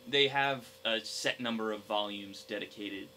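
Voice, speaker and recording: A young man co-hosting a casual gaming discussion, speaking in a hesitant, halting way. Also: fairly low pitch, pushed and bright, slight background noise. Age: young adult. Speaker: podcast co-host.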